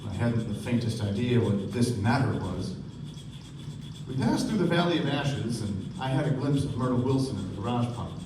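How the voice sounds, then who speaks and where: A man playing a polite, observant young gentleman who narrates a radio play on stage. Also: mid-range voice, animated, normal speed, lightly acted. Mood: measured, reflective. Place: theater stage before an audience. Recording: echoey stage, distant mic, noisy room.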